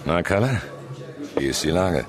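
An actor playing a brash, seasoned crime reporter in a radio drama, curt and wry. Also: male voice, deep voice, expressive, normal speed, crowd noise. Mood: cool, inquisitive. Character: brash, seasoned male crime reporter mentoring his trainee. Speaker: newspaper reporter.

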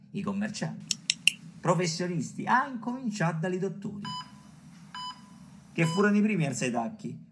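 An actor playing an earthy, streetwise storyteller with a Roman accent, telling a tale dramatically in radio theatre. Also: male voice, medium pitch, gravelly. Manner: dramatic, colloquial. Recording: very noisy, clicks.

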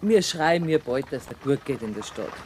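A woman's voice: a bass voice, expressive, normal speed, a little mellow, slight background noise. An actress playing a practical, bossy woman with a Bavarian accent in a radio drama, matter-of-fact in her talk. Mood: brisk, practical. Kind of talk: 1970s German radio drama acting.

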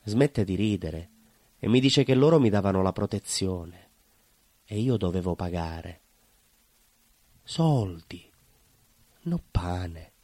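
Low-voiced, troubled southern Italian baker. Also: male voice, expressive, darkish tone, no room sound, heavily acted. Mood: uneasy recounting. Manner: halting, repetitive, colloquial confiding storytelling. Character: troubled working-class baker son of Calabrian emigrants, ashamed, proud. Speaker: stage actor performing a monologue. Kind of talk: theatrical confessional monologue.

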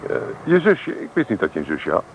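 Excitable, touchy young man, very expressive. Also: medium pitch, fast talker. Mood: curious. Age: young adult. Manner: bantering, easily indignant. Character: excitable, touchy young male student, farmer's eldest son. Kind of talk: radio play acting, naturalistic dialogue. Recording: noisy old radio play recording.

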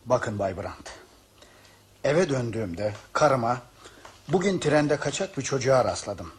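A man playing a bitterly ironic male train passenger in a radio drama, speaking in a measured way. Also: mid-range voice, expressive, slight background noise, lightly acted. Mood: bitter irony. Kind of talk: Turkish radio drama acting.

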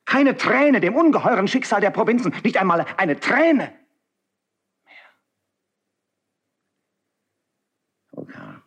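Husky, impassioned idealist nobleman. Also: high-pitched, big pitch swings, moderate pace, fairly bright tone, full character voice. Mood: anguished reproach. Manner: declamatory, rhetorical, impassioned. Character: idealistic nobleman marquis, earnest persuasive conspirator and friend. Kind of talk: classical German verse radio drama acting.

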